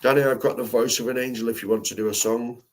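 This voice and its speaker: A man's voice: medium pitch, steady tone, fast talker, darkish tone. A man hosting a live stream, chatting casually in slangy, rambling talk full of restarts.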